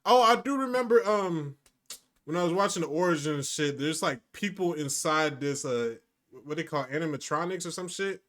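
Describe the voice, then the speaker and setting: Animated, higher-pitched man reacting casually. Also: moderate pace. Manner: animated, profane. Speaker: reaction video host. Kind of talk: casual slangy live reaction commentary.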